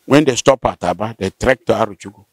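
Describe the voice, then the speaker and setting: An older man with a southern Nigerian accent, explaining local history conversationally and haltingly, with affirming hums. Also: fairly low pitch, slightly rough, no room sound, clean studio sound. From Rivers State, southern Nigeria. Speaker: interviewee, local elder. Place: outdoors, seated by corrugated metal fence. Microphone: handheld interview mic held by host.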